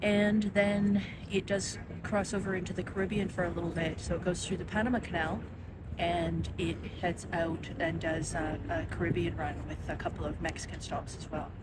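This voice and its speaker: A woman's voice: on the low side, flat delivery, moderate pace, very noisy. A woman in her fifties chatting casually in a vlog, with many ums and restarts, filming herself outdoors at arm's length with her phone. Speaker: vlogger. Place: outdoors on a city pier.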